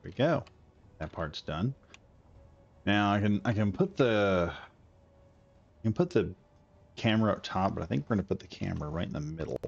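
A man's voice: deep voice, lively intonation, moderate pace, gravelly, pauses often, slightly rough. A man streaming a building game, rambling casually and talking himself through each step as he builds. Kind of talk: casual gameplay commentary. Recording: slightly dull audio with faint music.

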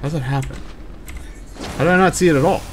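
A man's voice: fairly high pitch, fast talker, slight background noise. A man doing casual let's play commentary and chatting with viewers in a wry, mock-exasperated way. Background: faint game music.

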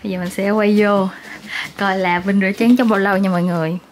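A woman's voice: mid-range voice, steady tone, fast. A woman in her twenties with a southern Vietnamese accent, chatting casually and playfully to viewers as a vlogger. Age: 20s. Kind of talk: casual vlog chat to viewers. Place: small apartment, kitchen and living room. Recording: clear household background noise.

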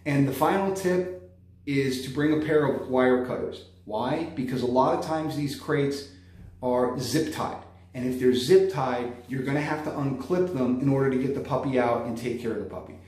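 A man in his thirties talks straight to the camera as a dog trainer, listing practical tips and closing points with "okay?". His voice sits at an average pitch, and the room around him is very echoey. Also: normal speed, a little mellow. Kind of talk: instructional vlog. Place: indoor room by a window.

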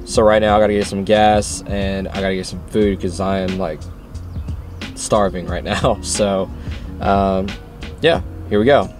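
A man's voice: sits low, steady tone, unhurried, darkish tone, soft background music. A man in his twenties chatting casually to the camera as a vlogger, in a laid-back way. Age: 20s. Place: parked car and outdoor rest stop. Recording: noisy, car and outdoor background noise.

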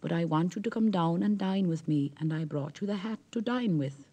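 A man's voice: high voice, normal speed, dark tone. A man voicing a sly, jovial old male merrow who talks in a knowing, wheedling way.

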